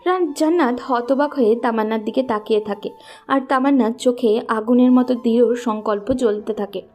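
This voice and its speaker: A woman's voice: a little high, normal speed, a little mellow, soft background music. A woman narrator reading a scripted love story.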